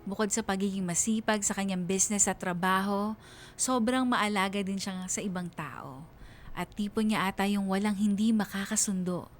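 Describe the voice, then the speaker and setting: A woman's voice: mid-range voice, moderate pace, soft background music, lightly acted. A woman playing a reflective young woman narrating her love story on radio, with a Filipino accent. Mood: reflective, admiring. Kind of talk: dramatized letter narration on radio.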